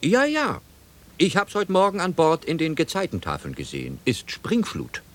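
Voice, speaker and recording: Courtly sea captain, wildly swooping intonation. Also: male voice, fairly high pitch, wildly swooping pitch, moderate pace, a bit bright. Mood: casual, hesitant. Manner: gallant, courteous, hesitant, dreamy. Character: courtly, wistful male steamship captain with aching back. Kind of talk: German radio play acting.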